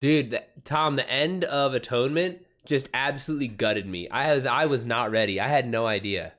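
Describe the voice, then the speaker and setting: A man's voice: mid-range voice, normal speed, fairly bright tone. An American man hosting a livestream, talking casually with viewers in an informal, slangy way and swearing freely. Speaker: livestream host.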